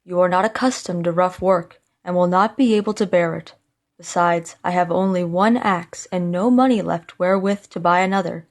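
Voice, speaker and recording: A woman voicing a poor, careworn woodcutter father in a storybook, measured and gently admonishing. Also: on the low side, natural voice. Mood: worried. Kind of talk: storybook character voice.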